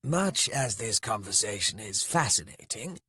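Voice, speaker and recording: A man voicing a dry, biting, sardonic male rogue in a fantasy audiobook, often hushed. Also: medium pitch, slow pace, breathy edge, no echo, voice pushed up, heavily acted.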